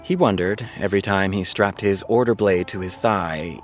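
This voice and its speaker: A sardonic male TV showrunner, played by an actor, reads prose aloud in an audio drama. His voice sits fairly low, with a weary, incredulous edge that suits muttered sarcasm. Music plays behind him. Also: moderate pace, lightly acted. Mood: reading flatly. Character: exasperated, sarcastic male TV showrunner reading fan fiction aloud. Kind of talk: reading prose aloud with muttered scornful asides.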